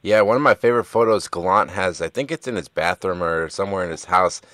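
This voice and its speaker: A low-voiced man hosts a podcast in a relaxed, friendly manner, nudging his guest along with casual questions. The sound is dry and close. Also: quick pace, no room sound. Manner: laid-back, encouraging interjections. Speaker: podcast host. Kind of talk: casual interview chat.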